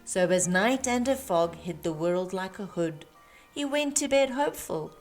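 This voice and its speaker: A woman reading a rhyming children's storybook aloud with measured, emphatic phrasing for young listeners. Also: mid-range voice, expressive. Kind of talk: expressive read-aloud of rhyming Christmas storybook. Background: music.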